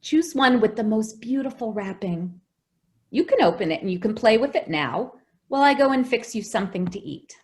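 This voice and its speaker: A woman playing an affectionate, fretful caretaker of a young child in a radio drama, expressive and coaxing. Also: fairly high pitch, moderate pace, close to an everyday voice. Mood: gently coaxing.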